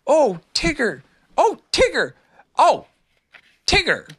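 A reader voicing an eager, innocent young kangaroo child with a squeaky, excited way of asking questions, in a storybook. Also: moderate pace.

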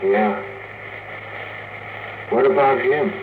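A man acting a plain, loyal spaceman, a quiet crewmate in a 1950s radio drama. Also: medium pitch, very slow. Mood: somber. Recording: noisy, tinny old radio recording.

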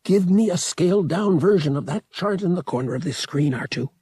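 A man's voice: sits high, lively intonation, brisk, dark tone, breathy edge, no echo, pitched above the performer's own voice, heavily acted. A man voicing a tough, impatient young space pilot who speaks curtly and gives orders under strain. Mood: tense, clipped. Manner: curt, commanding.

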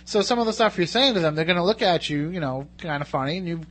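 A man's voice: fairly high pitch, lively intonation, a bit bright. A man hosting a radio talk show, bantering casually and cracking jokes. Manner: joking, quick banter.

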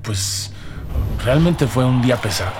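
A man's voice: medium pitch, lively intonation, unhurried, over music, lightly acted. An actor playing a grieving politician in his sixties, subdued and hesitant, in an audio drama. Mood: subdued, weary. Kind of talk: scripted audio drama dialogue.

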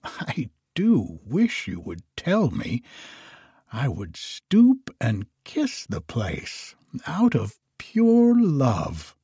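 Storybook old woman, frail, cautious and kindly, higher and breathy, as a man plays her; slow. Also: huge pitch swings, warm tone, no echo, clean studio sound, full character voice. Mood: gentle. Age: older.

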